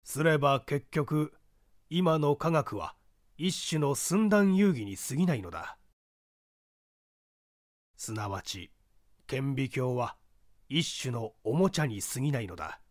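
A man's voice: mid-range voice, big pitch swings, slow, long pauses, no echo, clean studio sound. A man voicing an opinionated professor of forensic medicine in a dramatic audiobook reading, declaiming in a didactic, emphatic way. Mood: grave lecturing.

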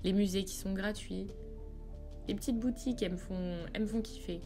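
A woman's voice: mid-range voice, steady tone, a little fast. A woman from France narrating a travel vlog in an informal, confiding way, speaking directly to the viewer. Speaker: travel vlogger. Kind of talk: chatty voice-over narration of a road trip. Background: background music.

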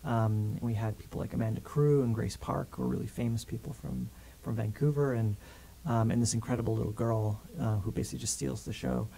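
Animated young man with a mellow voice. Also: on the low side, fairly quick, vocal fry, low-quality recording. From Canada. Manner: animated, gestures a lot with hands while talking. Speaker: filmmaker guest. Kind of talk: casual interview chat. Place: small studio with grey backdrop. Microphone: studio condenser mic on stand.

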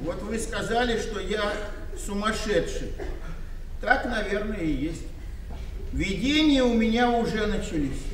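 A man's voice: sits high, lively intonation, a little slow, reverberant, big-room sound, far from the mic, noisy background. An actor playing a confused, rambling old father from the village in a radio play. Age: older. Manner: rambling, plaintive. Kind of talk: 1990 radio play acting.

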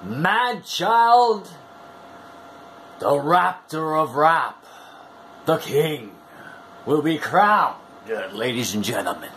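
A man performs a theatrical wrestling-style monologue as a showman. His fairly high voice leaps up and down dramatically, and he stretches his words out very slowly for effect. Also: sing-song, a bit bright, a little hiss or hum. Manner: bombastic showman, drawn-out words, sudden whoops. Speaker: wrestling fan video host. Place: small room at home.

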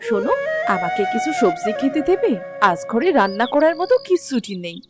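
A woman's voice: fairly high pitch, big pitch swings, a little fast. A woman voicing a neighbourly village housewife in a cartoon. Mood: friendly, coaxing. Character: neighbourly village wife asking for vegetables. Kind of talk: cartoon dialogue. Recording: clear music under the voice.

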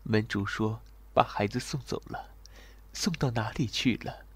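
A man's voice: on the low side, unhurried, husky, no room sound. A man playing a weary, reserved young general in an ancient Chinese audio drama, speaking formally and courteously. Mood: troubled, brooding.

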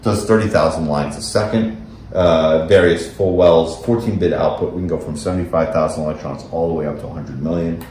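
Low-voiced American man in an echoey room. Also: steady tone, fairly quick, darkish tone, a little hiss or hum. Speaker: presenter, camera company specialist. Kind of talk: technical product presentation, explaining slides. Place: small indoor office or meeting room, beside a screen.

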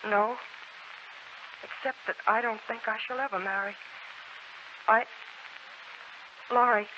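A woman's voice: fairly high pitch, steady tone, slow. An actress in a 1940s American radio drama playing an earnest, headstrong young woman, anguished and tender in an emotional delivery. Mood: anguished, apologetic. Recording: muffled, noisy 1940s radio recording.

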